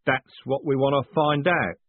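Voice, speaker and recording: A male narrator plays a sardonic workman with a husky voice. He is blunt, dry and teasing in a storybook reading with a thin, telephone-like sound. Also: mid-range voice, steady tone, unhurried, no echo, pitched above the performer's own voice. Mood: brisk, blunt. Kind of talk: audiobook character voice. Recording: narrow phone-like band.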